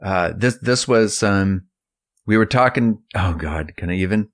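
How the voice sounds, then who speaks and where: A man's voice: fairly low pitch, measured pace, a little hiss or hum. A middle-aged man chatting casually and telling personal anecdotes as a podcast co-host.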